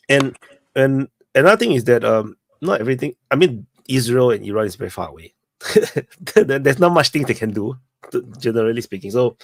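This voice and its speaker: A man's voice: medium pitch, no echo. A man hosts a casual live open-mic discussion. His English has non-native phrasing and loose, conversational grammar. The sound is dry and close to the microphone.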